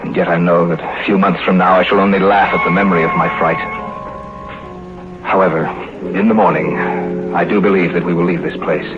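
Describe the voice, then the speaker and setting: An actor playing an anxious husband writing a journal of dread in a 1950s radio horror drama, grave and measured. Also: male voice, sits low, steady tone, over loud music, close to an everyday voice. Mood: uneasy, grave. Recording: noisy muffled vintage radio recording.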